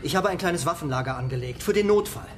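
A man's voice: a little high, expressive, fast talker, noisy background, close to an everyday voice. An actor playing a wary, resolute male organiser among spaceship fugitives in a radio drama. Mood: grave, determined. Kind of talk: German radio drama acting.

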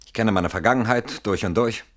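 Male for radio play: lower-pitched, defensive husband, proud, theatrical, quick to take offence. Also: a bit bright. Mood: indignant, defensive. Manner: theatrical, scornful, protesting. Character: defensive, indignant husband, proud and easily rattled. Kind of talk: German radio drama acting.

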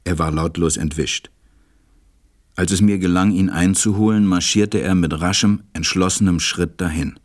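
An actor playing a pensive, tender man in a German radio play, narrating and speaking gravely and deliberately in a husky, breathy voice. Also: low-pitched, fairly even intonation. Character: pensive, tender adult male narrator befriending a small boy.

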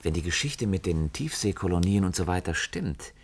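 A man's voice: sits low, animated, moderate pace, breathy edge. An actor in a German science fiction radio play, playing an earnest, inquisitive company man with a thoughtful, probing manner. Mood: pensive.

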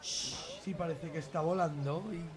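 A man's voice: fairly high pitch, steady tone, measured pace, close to an everyday voice. A man playing an enthusiastic, cheeky sculptor in a comic radio serial, sweary and colloquial, with a Spanish accent from Spain and crowd noise behind. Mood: admiring.

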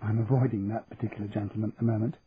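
A man's voice: lowish voice, level tone, racing, phone-quality sound, slight background noise, close to an everyday voice. A man voicing a witty, frank young female student with a wry manner in a story reading. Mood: wry, rueful.

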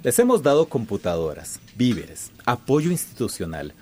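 An actor playing an imperious, scornful company boss in a radio drama. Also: male voice, medium pitch, sing-song, moderate pace, warm tone, a little hiss or hum, close to an everyday voice. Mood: threatening. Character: domineering company boss, contemptuous and threatening.

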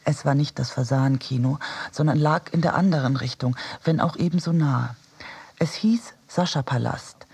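An actor playing a reflective narrator of memories in a radio drama, quiet and measured, with a husky, breathy voice. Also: male voice, a little high, exaggerated voice. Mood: sober. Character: reflective narrator recalling childhood, cinema and wartime loss. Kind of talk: literary first-person narration for radio drama.

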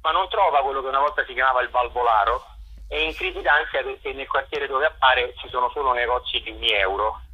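A man giving a radio interview as a theatre director and actor, articulate and discursive, pausing to search for words. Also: mid-range voice, husky, highs cut off. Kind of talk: radio interview, explaining and promoting work.

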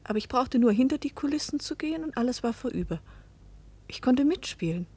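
An actress playing a young woman who narrates her own story in a radio play, reflective and measured. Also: high-pitched, animated, mellow, husky, exaggerated voice. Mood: detached, amused.

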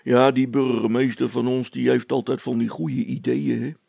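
A man's voice: medium pitch, flat delivery, normal speed, creaky, dark tone, husky, no room sound. A man voicing a simple, nervous, agreeable village policeman in a storybook, with a hesitant, plaintive, colloquial way of talking.

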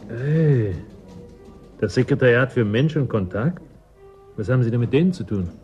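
A man's voice: medium pitch, animated, normal speed, a little mellow, dull audio, faint music behind, close to an everyday voice. An actor playing a blunt, distrustful working man in a radio drama, curt and sardonic in manner. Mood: probing. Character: gruff, suspicious, blunt working man of a frozen settlement. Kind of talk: German radio play acting.

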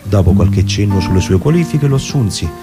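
Male actor for prudent older lawyer, stage monologue: low register, measured literary phrasing, deliberate pauses, loud music underneath. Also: lively intonation, lightly acted. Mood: measured, reflective. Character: prudent, cautious, unambitious older lawyer recalling his strange scrivener. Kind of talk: dramatic first-person literary monologue over music. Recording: music behind the voice.

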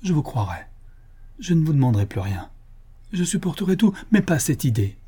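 A man's voice: medium pitch, brisk, mellow, voice pushed up, natural voice. A man reading the part of an anguished young woman who pleads and begs in a classic novel audiobook. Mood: pleading. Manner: desperate, imploring.

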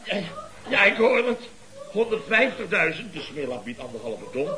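An actor playing a blustering, boastful contractor boss in a Dutch radio comedy, with a pompous, theatrical, lecturing manner. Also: male voice, high-pitched, sing-song, normal speed, a crowd in the background, low-quality recording. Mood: flustered. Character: blustering, boastful contractor boss and uncle, scheming wheeler-dealer.

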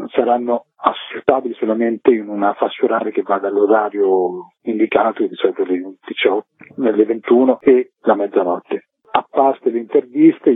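A husky-voiced man speaks as an interview guest over a telephone line with a noticeable echo. The sound is narrow and boxy. He explains things at length as a festival co-director, in flowing, detailed sentences. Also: fairly low pitch. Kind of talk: radio phone interview, explanatory rundown.

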